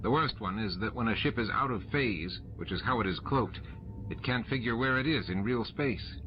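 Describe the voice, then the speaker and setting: A man reading an audiobook, voicing a practical male chief engineer with a brisk, technical delivery. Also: mid-range voice, lo-fi sound, background noise, close to an everyday voice. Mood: explaining.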